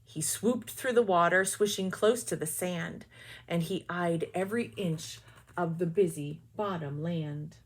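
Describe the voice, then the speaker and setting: A woman teacher reading a children's picture book aloud with expressive storytelling and quick, quiet asides. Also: lowish voice.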